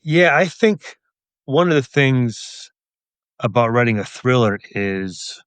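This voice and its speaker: A man answering interview questions as a guest author, casual and reflective, in a thoughtful, measured manner. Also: on the low side, fairly bright tone, smooth, no room sound.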